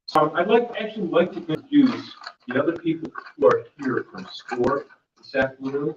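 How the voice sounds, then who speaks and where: Man, booming presenter at a workshop, rambling delivery, distant mic in a reverberant meeting room. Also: big pitch swings, moderate pace, background noise. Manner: loose, rambling delivery with many fillers and restarts. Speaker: volunteer mentor presenting a workshop. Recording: dull, echoey room audio from far off.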